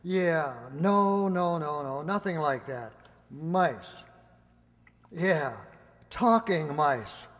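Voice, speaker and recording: A man acts an earnest, flustered male lab official in a radio drama. His voice sits high and he speaks slowly, stammering and doubling back on his words. It rings with long hall reverb. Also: animated, fairly bright tone, heavily acted. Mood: pleading, exasperated. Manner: stammering, hesitant, repeats himself. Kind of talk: amateur radio drama acting.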